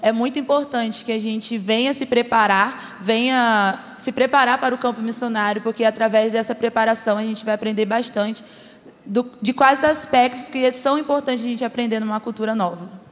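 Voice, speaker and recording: A woman's voice: a little high, normal speed. A young woman from Rio de Janeiro state, a missionary student, speaking earnestly about her training. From Rio de Janeiro state, Brazil. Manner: earnest, repetitive explaining. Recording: echoey hall sound.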